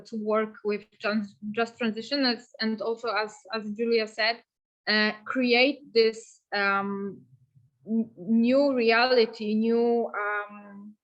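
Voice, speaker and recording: A slow-speaking woman in her thirties from Poland, with a slightly high voice. She halts and repeats words while searching for the next phrase, and the audio is dull and lo-fi. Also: a bit bright. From Eastern Wielkopolska, Poland. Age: 30s. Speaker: just transition activist. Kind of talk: online panel discussion.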